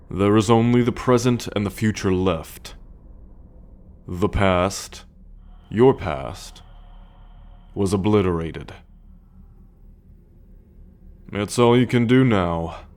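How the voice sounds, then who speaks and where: A man playing a sardonic, coolly possessive male alien captor in an ASMR roleplay, speaking deliberately with a teasing condescension. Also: deep voice, a little mellow. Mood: stern, resigned. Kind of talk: ASMR roleplay monologue addressed to the listener.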